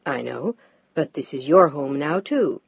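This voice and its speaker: A woman voicing a husband, dropping lower and speaking curtly in a marital quarrel. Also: animated, unhurried, gravelly, husky, no echo, lo-fi sound, heavily acted. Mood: tender. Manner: low, curt, annoyed. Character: man, a husband, tired then tender.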